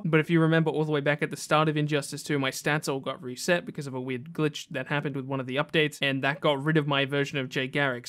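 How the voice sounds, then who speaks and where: A man's voice: fairly high pitch, a bit bright. A man hosting a gaming countdown video with casual, conversational, enthusiastic commentary.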